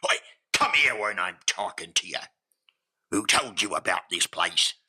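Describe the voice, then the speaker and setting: An actor playing a gruff, bullying henchman guarding a warehouse in an old-time radio drama. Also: low voice, animated, a little slow, sharp tone, full character voice. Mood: threatening, suspicious.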